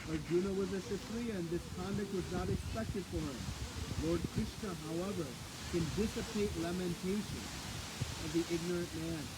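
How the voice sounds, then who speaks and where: A man's voice: sits high, moderate pace. A man giving a scripture class outdoors on a battery microphone, talking conversationally and asking his listeners questions. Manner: conversational, asks the audience questions. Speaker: spiritual teacher. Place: outdoors, windy. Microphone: battery microphone, cutting in and out. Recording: wind and outdoor noise, loud.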